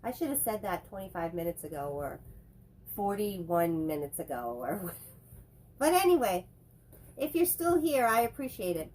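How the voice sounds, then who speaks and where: A woman co-hosting a relaxed movie chat while fighting a head cold, her voice stuffy and congested. She rambles and jokes as she goes, never sticking to one point for long. A faint hum of noise sits behind her. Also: lowish voice, rough recording. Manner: rambling, chatty, quick to joke. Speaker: co-host. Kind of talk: casual chat reviewing movies.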